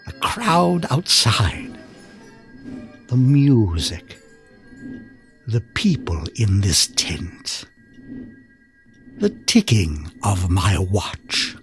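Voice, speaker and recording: An actor playing a theatrical stage hypnotist in an audio drama, with a grandiose, commanding manner. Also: male voice, on the low side, sing-song, very slow, pauses often, soft background music, full character voice. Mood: hushed, hypnotic.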